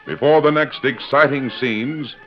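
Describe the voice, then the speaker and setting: A man's voice: mid-range voice, measured pace. A man narrating an old-time radio drama as its announcer, formal and dramatic. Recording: muffled vintage radio with music behind.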